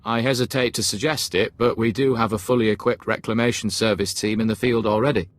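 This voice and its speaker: An actor playing a cautious, deferential imperial officer in a video game, formal and obsequious. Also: male voice, on the low side, steady tone, fairly quick, close to an everyday voice. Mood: deferential, wary. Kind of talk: game voice acting.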